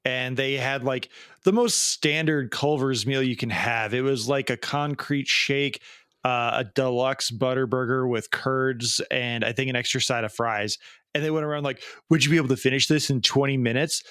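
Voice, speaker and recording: A man's voice: medium pitch, fairly even intonation, moderate pace, fairly bright tone, clean tone, no echo, studio quality. A man in his late thirties with an American Midwestern accent, co-hosting a podcast in casual chat with sardonic jokes. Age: late 30s. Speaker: podcast co-host.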